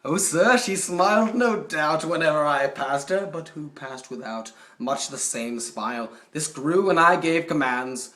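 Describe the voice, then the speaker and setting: A man playing a haughty, possessive, coldly jealous duke in a theatrical, deliberate dramatic poetry recitation. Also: fairly high pitch. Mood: menacing, forceful. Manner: theatrical, deliberate, swinging from hushed to forceful. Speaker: poetry reader. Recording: roomy echo, distant microphone.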